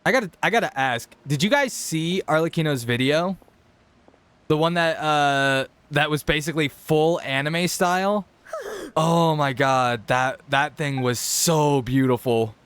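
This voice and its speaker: A man's voice: on the high side, a little slow, a bit bright, smooth. A man doing casual, rambling, self-deprecating reaction commentary as a game streamer. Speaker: game streamer, let's-play host. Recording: game music playing behind.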